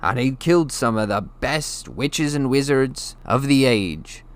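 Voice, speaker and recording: A man voicing a big, gruff but kindly giant in an audiobook, with folksy, ungrammatical and emphatic speech. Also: medium pitch, expressive, a little slow, pitched above the performer's own voice, natural voice. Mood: grave, intense. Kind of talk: audiobook character voice.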